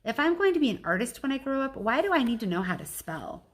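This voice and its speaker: A woman's voice: a little high, very animated, fast talker, voice pushed up. A woman puts on a young schoolgirl from a children's storybook. She sounds questioning and a bit whiny, with her voice nudged upward. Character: young schoolgirl, questioning, complaining about homework.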